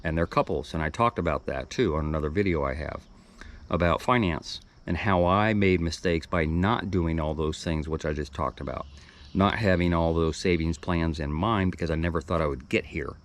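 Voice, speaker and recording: Man, mid-sixties, very low voice, casual reflective vlog delivery, wanders and restarts mid-thought. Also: moderate pace. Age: 60s. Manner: rambling, thoughtful, with restarts and trailing asides. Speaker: video blogger.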